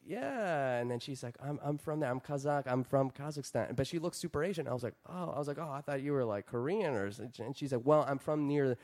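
Male podcast comic, quick rambling delivery, crude anecdote, laughs a lot. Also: mid-range voice, darkish tone. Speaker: comedian. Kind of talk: casual podcast storytelling.

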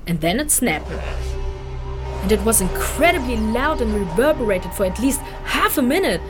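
An actress playing a chatty, candid human refugee in a fantasy audio drama, informal and expressive as she recalls things. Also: a little high, normal speed, music in the background. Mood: rattled, recollecting. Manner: informal, slangy, expressive, hesitant recollection.

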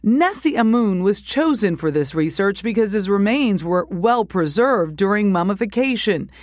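A woman reporter narrating a scripted news feature in a measured broadcast style. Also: medium pitch, dry recording, clean studio sound.

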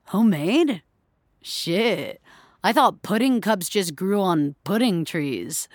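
A woman's voice: medium pitch, lively intonation, takes it slowly, no room sound, clean studio sound. A woman voicing a casual, teasing male coworker in an audio fiction story, with a wry, slangy delivery.

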